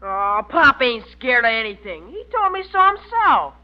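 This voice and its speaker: Casting: cheeky kid son, high animated voice, muffled vintage radio sound. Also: female voice, normal speed. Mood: eager, cheeky. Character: eager, cheeky young son. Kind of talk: 1940s radio sitcom acting. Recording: muffled old radio recording.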